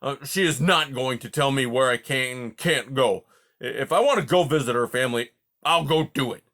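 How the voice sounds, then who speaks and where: A man's voice: mid-range voice, normal speed, a bit bright, lightly acted. A man voicing a grumpy old male porcupine who huffs, sputters and swears in silly made-up oaths. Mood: muttering.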